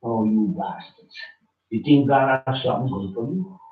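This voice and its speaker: A man's voice: medium pitch, moderate pace. A man in a small study room speaking emphatically and fiery, repeating his points in an impassioned rant. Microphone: far room camera. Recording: echoey, distant, dull room recording.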